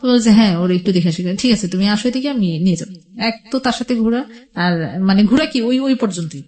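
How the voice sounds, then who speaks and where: A woman's voice: mid-range voice, lively intonation, fairly quick, mellow. Bangladeshi woman telling her life story. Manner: rambling, colloquial, quotes past conversations. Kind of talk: personal storytelling in a radio interview.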